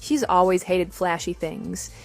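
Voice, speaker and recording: A woman's voice: fairly low pitch, measured pace, gravelly, soft background music. A woman playing a composed, matter-of-fact wife with a dismissive edge in a scripted audio drama. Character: composed, wry wife divorcing her cheating husband.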